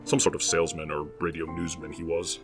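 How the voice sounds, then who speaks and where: A man voices a genteel 1920s gentleman, courtly and long-winded in his phrasing. His voice is very deep, and music plays clearly behind him. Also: level tone, brisk. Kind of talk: 1920s period audio drama.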